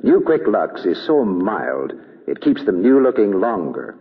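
A man's voice: lowish voice, big pitch swings, unhurried. A man working as a polished, emphatic announcer in a scripted 1940s radio advertisement. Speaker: announcer and commercial interviewer. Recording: tinny, echoing old radio, some music.

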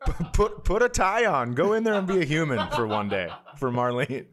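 A man's voice: on the high side, lively intonation, normal speed, a crowd in the background. A man bantering casually as a comedy podcast co-host, teasing and sarcastic.

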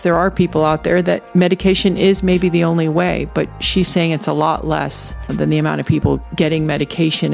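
A man's voice: on the high side, vocal fry. A man giving a spoken introduction to a podcast interview, with music behind him.

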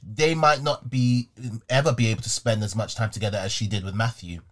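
Animated British man with very lively intonation. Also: lowish voice, a little fast. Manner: animated, repeats words for emphasis. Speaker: podcast co-host. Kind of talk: casual chat.

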